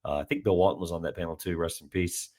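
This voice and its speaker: A man hosting a casual sports podcast, his voice a little raspy from days of talking, rambling with many fillers and restarts. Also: low voice, steady tone, fast talker, a little mellow. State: slightly raspy from heavy talking. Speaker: podcast host.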